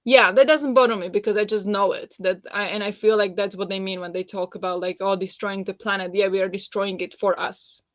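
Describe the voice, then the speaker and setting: A woman's voice: medium pitch, steady tone, brisk, hardly any pauses, dry recording. Woman chatting on a book stream. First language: Slovak. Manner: chatty, warm replies to viewers with frequent fillers and laughs. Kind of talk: live-stream chat reading out comments.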